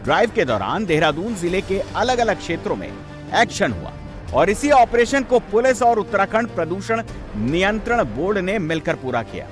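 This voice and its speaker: A man's voice: sits high, big pitch swings, normal speed. A man presenting scripted TV news narration in an emphatic, sensational, dramatic way. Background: background music.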